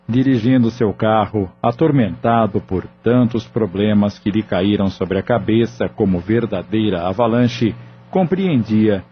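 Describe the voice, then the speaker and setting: Man, audio drama narrator, measured and solemn, low voice, lo-fi sound. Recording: dull lo-fi audio with faint music.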